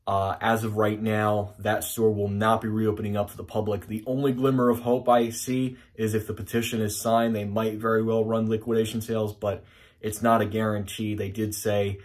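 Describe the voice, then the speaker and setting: Flat-voiced young man talking to camera. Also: on the low side, normal speed. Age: young adult. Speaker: elevator video channel host. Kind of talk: casual to-camera intro. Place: small indoor room with wood paneling.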